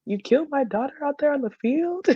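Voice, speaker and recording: A woman's voice: high voice, lively intonation, normal speed, dry recording, muffled recording. A woman in her twenties with an American accent, telling stories casually as a podcast guest in a chatty, giggly way, saying "like" often. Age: 20s. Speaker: podcast guest, dental student and soccer player. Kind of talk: casual conversational storytelling.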